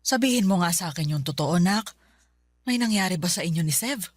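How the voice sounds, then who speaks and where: A woman's voice: lowish voice, lively intonation, fairly quick, breathy edge, no echo, clean studio sound. An actress playing a caring, nosy middle-aged mother with a probing, colloquial way of talking in a Tagalog radio drama. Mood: probing, insistent.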